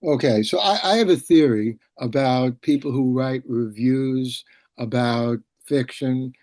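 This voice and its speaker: A man conducts an interview on a video call with a mid-range voice and very little room echo. He builds his questions in a halting, roundabout way, thinking as he goes. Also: medium pitch, a little mellow. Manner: halting, discursive, thinking aloud. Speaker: host and publisher. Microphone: video call microphone.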